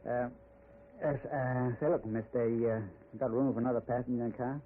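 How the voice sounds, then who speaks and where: An American actor playing a helpful, familiar small-town gas station attendant in a 1940s-style radio drama, speaking colloquially and hesitantly. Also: male voice, medium pitch, fairly even intonation, fairly quick, natural voice. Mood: coaxing, familiar. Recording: tinny, noisy old radio broadcast recording.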